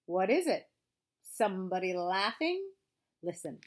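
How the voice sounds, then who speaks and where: A woman voicing an eager, kind-hearted, excitable young boy in a children's story. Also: mid-range voice, a little slow. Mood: urgent, eager. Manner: excited, calling out.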